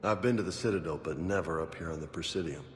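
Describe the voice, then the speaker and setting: A man's voice: sits low, fast talker, in a large hall, natural voice. A man playing a streetwise, disillusioned soldier in a video game, talking in a blunt, slangy way. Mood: brooding.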